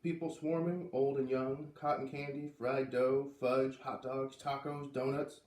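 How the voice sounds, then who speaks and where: Echoey male storybook reader, expressive. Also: medium pitch, measured pace, distant mic. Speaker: reader of a children's book read-aloud series. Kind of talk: storybook read-aloud. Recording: roomy, voice away from the microphone.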